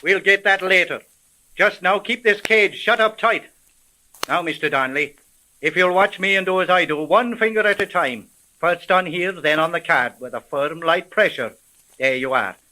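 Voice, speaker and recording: An actor playing a bossy, hard-boiled police sergeant in a 1930s radio drama, with brusque, slangy authority. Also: male voice, sits high, normal speed, sharp tone, low-quality recording. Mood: brisk, instructing.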